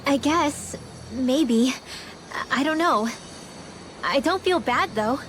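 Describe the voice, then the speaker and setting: A woman's voice: sits high, lively intonation, slow, a bit bright, slight background noise, heavily acted, rough recording. A woman playing a sensitive, hesitant teenage girl mage in a fantasy video game cutscene. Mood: unsure. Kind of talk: English dubbed video game cutscene acting.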